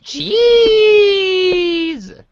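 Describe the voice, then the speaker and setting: A performer voicing an excitable, suspicious teenage boy with theatrical, teasing sarcasm in an amateur webcomic reading. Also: very high-pitched, extremely slow, muffled recording, exaggerated voice. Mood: incredulous.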